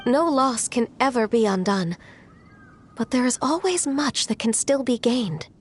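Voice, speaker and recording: Gentle, higher-pitched young heroine. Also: female voice, lively intonation, measured pace, soft background music. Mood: earnest, consoling. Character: gentle, earnest young woman, polite and reflective. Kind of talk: video game voice acting.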